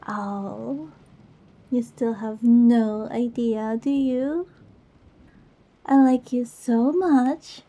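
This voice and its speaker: A woman's voice: high-pitched, warm tone, full character voice. A woman playing a goofy, affectionate young girlfriend in an intimate ASMR roleplay, with a playful, teasing manner. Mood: tender.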